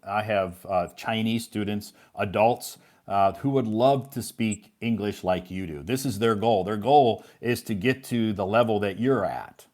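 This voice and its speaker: A man's voice: lowish voice, measured pace, few pauses, darkish tone. A man hosting a live English chat as a teacher, reassuring and encouraging. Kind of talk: casual chat.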